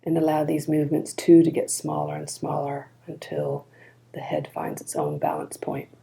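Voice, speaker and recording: Low, creaky-voiced woman guiding meditation. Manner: calm, gentle, unhurried instruction. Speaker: meditation guide. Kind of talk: slow guided body scan meditation.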